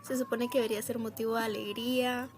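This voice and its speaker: A woman's voice: a little high, flat delivery, slight background noise. Bubbly young Guatemalan woman. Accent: Guatemalan Spanish. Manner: bubbly, quick to laugh. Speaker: podcast co-host.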